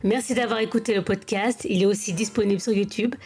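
A woman hosting a casual podcast interview, with a slow and easygoing manner. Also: medium pitch, fairly even intonation, a little mellow, faint music behind.

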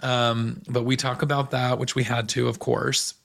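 A man hosting a podcast with a hoarse voice, recapping pop culture in a chatty, gossipy way. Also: medium pitch, little pitch movement, fairly bright tone. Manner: chatty, gossipy, fast asides. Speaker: podcast host.

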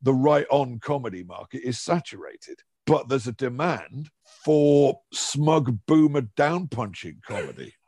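A man's voice: mid-range voice, big pitch swings, measured pace, hardly any pauses, mellow, husky, dry recording. A middle-aged man from Liverpool chatting casually as a comedian guest, with a wry, opinionated and rambling manner. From Liverpool, England. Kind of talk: casual conversational commentary.

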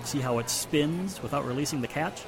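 An actor playing a matter-of-fact, assertive police inspector in the style of a 1940s radio mystery. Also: male voice, on the high side, normal speed, lightly acted. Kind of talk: 1940s radio drama acting. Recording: music and street sounds behind the voice.

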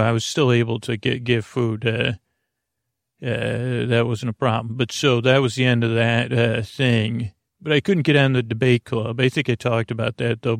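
Creaky-voiced man rambling on a podcast. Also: mid-range voice, dry recording, studio quality. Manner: meandering, tangential, halting and self-interrupting. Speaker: host of a sleep podcast. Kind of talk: rambling improvised comic monologue.

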